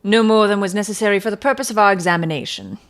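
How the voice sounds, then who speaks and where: A woman's voice: mid-range voice, fast talker, close to an everyday voice. A woman plays a male police detective in a Victorian audiobook mystery. The voice is medium for a woman. The manner is earnest and deferential, with some self-satisfaction. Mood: matter-of-fact.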